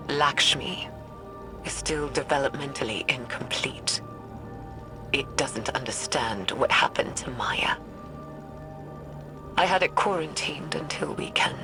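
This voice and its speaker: An actress playing an aging, guilt-ridden woman scientist recording a weary, halting, breathy audio log for a video game. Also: rumbling low. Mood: shaken, bitter. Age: older. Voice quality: breathy, whispery. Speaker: researcher recording audio logs. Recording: music behind the voice.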